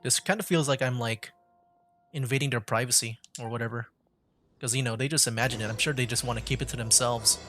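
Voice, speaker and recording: A woman's voice: extremely low pitch, fast talker, fairly bright tone, soft background music. A woman giving casual live gameplay commentary as a streamer, hesitant and thinking aloud. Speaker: VTuber game streamer.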